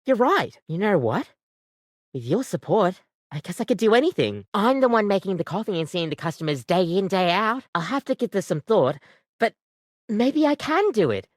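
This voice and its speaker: An animated, chatty coffee-maker barista gal. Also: female voice, mid-range voice, normal speed, dry recording, studio quality. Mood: hesitant, hopeful. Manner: expressive, chatty, rambling, gushing about coffee. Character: living coffee maker, a bubbly, earnest, chatty female barista. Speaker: video game character. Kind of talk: scripted video game voice acting.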